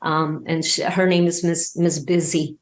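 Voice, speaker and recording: A woman's voice: deep voice, level tone, measured pace. A woman guest chatting casually in an interview about her own creative work, acting out bits of dialogue as she tells it. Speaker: guest, audio drama podcast creator.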